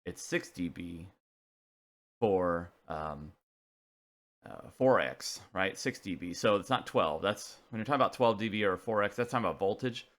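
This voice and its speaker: Low-pitched man explaining technical material casually. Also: expressive, a bit bright. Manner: casual, halting, thinking aloud while working through figures. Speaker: presenter of a CB radio technical video.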